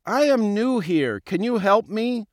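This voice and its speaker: A man's voice: sits high, lively intonation, unhurried, no echo, clean studio sound, pitched above the performer's own voice. A man voicing a plucky little fish in an audiobook, polite and earnest with a cheeky edge. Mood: earnest, friendly. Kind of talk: audiobook character voice.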